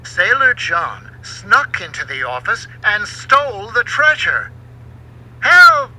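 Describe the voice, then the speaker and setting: A man reading a children's storybook aloud in an expressive, dramatic way. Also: sits high, slow pace, rough recording. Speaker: book narrator. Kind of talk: scripted storybook narration for children. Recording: home recording with faint background noise.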